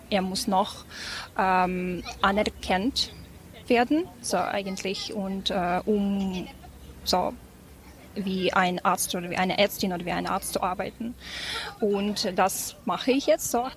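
A woman's voice: mid-range voice, slight background noise. A woman in her twenties from Ukraine with a Ukrainian accent, answering interview questions hesitantly with many fillers. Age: 20s. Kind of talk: casual interview. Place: café.

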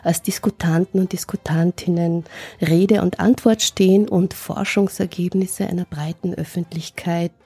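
Mellow-voiced woman hosting radio. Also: lowish voice, breathy edge, dry recording. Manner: formal, measured introductions. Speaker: radio show host. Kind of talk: radio talk show moderation. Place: radio studio.

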